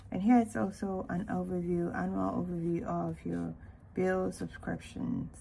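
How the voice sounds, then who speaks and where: A woman from Ghana giving a casual walkthrough narration in an unhurried way, with hesitant pauses. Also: fairly low pitch, flat delivery, gravelly, mellow, a little hiss or hum, rough recording. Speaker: planner vlogger.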